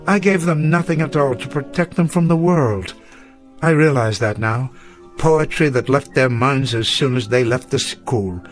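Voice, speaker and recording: A man's voice: mid-range voice, moderate pace, soft background music, natural voice. An older man acting in a radio drama as a weary old professor full of regret, speaking in a formal, literary way. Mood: despairing regret. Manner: formal, literary, reflective speech.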